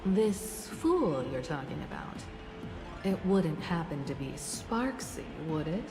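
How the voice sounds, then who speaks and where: A woman voicing a polite, reserved, inquisitive young woman in a video game, speaking in a measured way. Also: low-pitched, very animated, full character voice. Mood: polite, curious. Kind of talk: video game character acting. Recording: echoey hall reverb, faint music.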